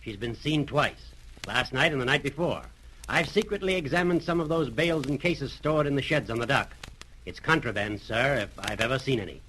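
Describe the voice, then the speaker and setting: An actor playing a keen British police detective reporting deferentially in an old radio drama. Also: male voice, medium pitch, normal speed, fairly bright tone, lightly acted. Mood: businesslike. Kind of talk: 1930s-40s radio drama acting. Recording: noisy, clicking vintage radio recording.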